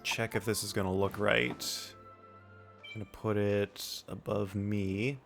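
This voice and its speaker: Bright-voiced man streaming casually. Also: lowish voice, level tone, measured pace, gravelly. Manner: thinks aloud while setting things up. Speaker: livestreamer, host. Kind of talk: casual gaming stream chat. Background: background music.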